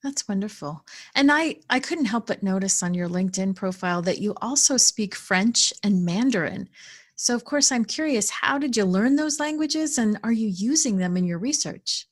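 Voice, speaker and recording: A woman's voice: medium pitch, lively intonation, normal speed. Curious upbeat woman interviewer. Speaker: podcast host, interviewer.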